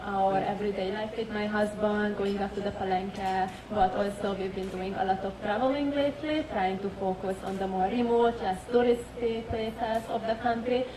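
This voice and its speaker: A composed woman originally from Hungary speaks fluently about her lifestyle vlog. She is heard from a distance in an echoey hall. A crowd murmurs behind her. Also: mid-range voice. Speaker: lifestyle vlogger, panelist.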